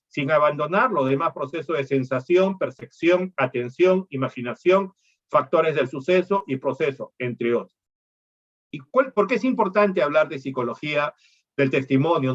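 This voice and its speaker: Guy giving a law lecture, kinda high voice, dull lo-fi sound, lots of "no?". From Peru. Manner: explanatory, rhetorical questions with tag "¿no?".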